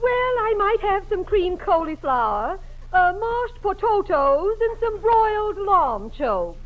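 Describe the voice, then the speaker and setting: Ladylike drawly girlfriend, super high swoopy voice, muffled vintage radio comedy. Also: big pitch swings, slow pace, heavily acted. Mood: languid. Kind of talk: 1940s radio comedy acting. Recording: dull vintage radio recording.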